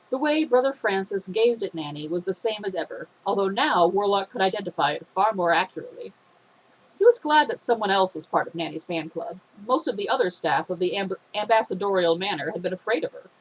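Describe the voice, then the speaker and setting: A woman reading a fan fiction story aloud in a steady, expressive way, her voice a little phlegmy. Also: medium pitch, slightly rough, low-quality recording.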